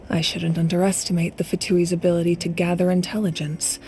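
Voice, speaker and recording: A woman playing a grave, resolute young leader in a video game, speaking in a measured, authoritative way. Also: fairly low pitch, little pitch movement, darkish tone, close to an everyday voice. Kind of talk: dramatic video game dialogue.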